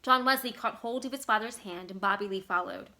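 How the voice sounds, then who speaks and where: Bright-voiced woman reading a story. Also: medium pitch, brisk. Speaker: library staff member reading a story aloud. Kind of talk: scripted literary narration.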